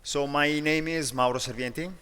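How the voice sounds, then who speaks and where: A man from Italy with an Italian accent, giving a conference talk in a self-deprecating, lively way with frequent restarts. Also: fairly high pitch, moderate pace, clear, resonant tone, big-room sound. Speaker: conference speaker. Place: large conference hall.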